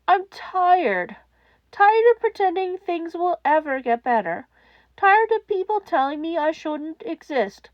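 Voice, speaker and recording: A fragile young boy, as a woman acts him out for an audiobook, timid and despairing. The voice is high and soft, cracking as it stammers, with very lively swings of pitch in a close, dry recording. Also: no room sound, lo-fi sound, heavily acted. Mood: weary, despairing. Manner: halting, stammering. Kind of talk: audiobook character acting.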